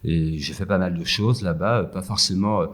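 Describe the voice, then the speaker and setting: Very deep, husky man, hesitant. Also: normal speed. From France. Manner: hesitant, full of "euh" and restarts. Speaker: guest, food entrepreneur. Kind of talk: casual interview answers. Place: empty yoga room with echo.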